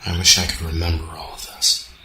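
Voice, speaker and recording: A man's voice: sits low, little pitch movement, fairly quick, lightly acted. A man voicing a stoic cyborg hedgehog, part computer, in an amateur radio drama, reporting in clipped machine-like phrases. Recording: roomy sound with faint noise.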